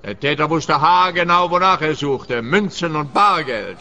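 Sharp, officious policeman. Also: on the high side, moderate pace, highs cut off. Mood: condescending, certain. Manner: officious, curt, condescending. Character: gruff, dismissive, self-assured male police officer. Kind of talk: radio play dialogue.

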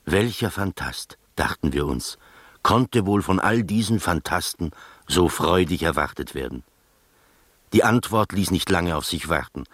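Gentleman narrator, low voice, measured, literary, bemused, radio drama, close dry studio. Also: lively intonation, no room sound, heavily acted. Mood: bemused, recounting. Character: gentleman narrator recounting his strange adventures, bemused, literary.

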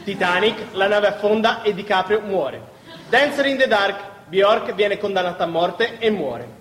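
A man's voice: high voice, measured pace, fairly bright tone. A man performing a live theatre recitation as a stage actor. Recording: echoey theatre hall, long reverb.

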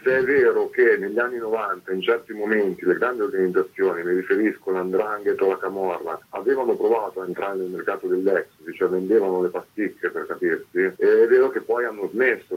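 Low, husky man on a phone line. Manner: discursive, analytical. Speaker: interviewed author. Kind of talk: informal explanatory radio interview. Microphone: phone call. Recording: muffled narrowband telephone line.

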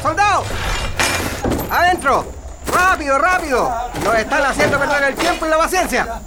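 Brutal, barking Chilean military officer. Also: male voice, extremely high pitch, lively intonation, normal speed, heavy background noise. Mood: harsh, threatening. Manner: barking, threatening, taunting. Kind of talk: radio drama acting.

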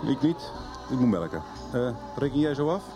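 A man's voice: mid-range voice, sing-song, moderate pace, over music, lightly acted. A man acting in a 1990s radio soap as a brash, dismissive farmer mixed up in a shady scheme. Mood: dismissive, gruff.

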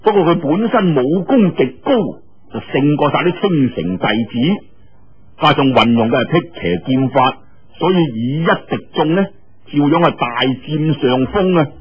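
A male Cantonese storyteller delivers martial-arts fiction with drama and colloquial flair. His voice is highish and husky, with wide swings in pitch. The recording sounds dull and lo-fi. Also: animated, measured pace.

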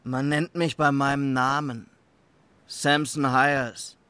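A man's voice: mid-range voice, little pitch movement, slow, sharp tone, clean tone, no room sound. Cheeky young male suspect. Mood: defiant. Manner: insolent, wisecracking. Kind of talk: radio crime drama acting.

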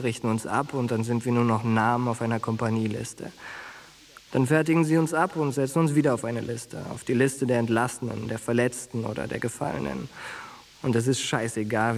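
A man's voice: medium pitch, fairly quick, creaky, a little hiss or hum, lightly acted. An actor playing a wounded young soldier in a radio drama, earnest, plain-spoken and fiercely loyal to his friend. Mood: bitter. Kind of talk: radio drama acting, intimate monologue and dialogue.

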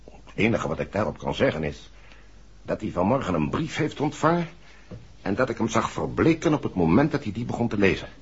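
An earnest, uneasy man confiding in a 1960s radio play. His deep voice is markedly husky and rises and falls in lively fashion. The old recording sounds dull. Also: a little fast, exaggerated voice. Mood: apologetic, earnest. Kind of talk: 1960s Dutch radio drama acting.

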